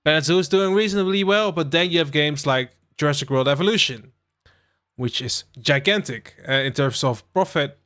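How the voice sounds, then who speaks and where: A young man from the Netherlands hosting a relaxed game livestream, rambling with lots of fillers and asides to his chat. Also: on the high side, normal speed. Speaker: livestream host. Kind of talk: casual live-stream chat while playing a game.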